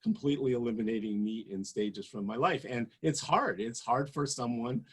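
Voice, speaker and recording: A man in his sixties delivers an impassioned campaign speech, pressing his points with emphatic force. His voice is husky, and he sits at a middle pitch. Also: normal speed. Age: 60s. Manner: passionate, emphatic, rising to forceful peaks. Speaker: political candidate.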